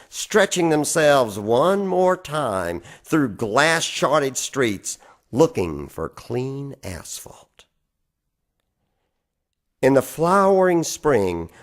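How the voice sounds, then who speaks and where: Slow, expressive man reciting poetry. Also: medium pitch. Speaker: poetry reader.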